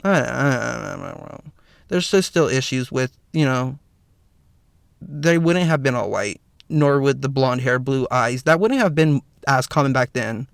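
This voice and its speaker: A slightly hoarse man with a raspy voice hosts a solo podcast, talking earnestly with lots of "you know" fillers. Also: medium pitch, lively intonation, measured pace, no echo, clean studio sound. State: slightly hoarse, clearing throat. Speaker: podcast host. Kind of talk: solo podcast commentary, partly read from notes.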